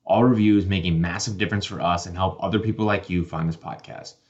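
Deep-voiced man reading a muffled podcast outro. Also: fairly even intonation, quick pace. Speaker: podcast host. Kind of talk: scripted outro asking listeners for reviews. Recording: dull, muffled audio.

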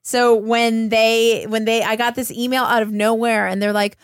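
A woman's voice: a little high, level tone, moderate pace, no echo, studio quality. A woman hosting a casual interview, speaking in an informal and enthusiastic manner. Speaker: podcast host, interviewer.

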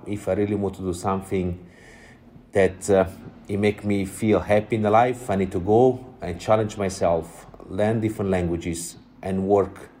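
A man with a very low voice and a clear Italian accent, talking as an interview guest. He is in his forties and tells his story in an easygoing way, scattering "uh" through it and laughing softly. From Italy. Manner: warm storytelling with small laughs and frequent "uh" fillers. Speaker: guest, drinks entrepreneur. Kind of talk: casual interview chat.

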